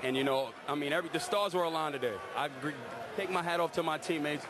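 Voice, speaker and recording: A young basketball player who sounds excited and boastful, his voice pitched a little high. The arena crowd roars behind him. Steady background noise stays under everything. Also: fast. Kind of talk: post-game interview. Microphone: handheld microphone held by interviewer.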